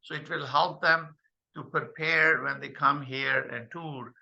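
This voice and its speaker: An older man hosting a video call meeting, speaking haltingly with many fillers. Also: fairly high pitch, fairly even intonation, vocal fry, sharp tone, dull audio. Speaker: host.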